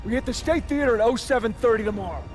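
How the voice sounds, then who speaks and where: Very high, shouting sergeant. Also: male voice, fast, over music. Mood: furious. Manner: barking, shouting. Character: furious, hard-driving American army sergeant. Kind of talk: war game cinematic acting.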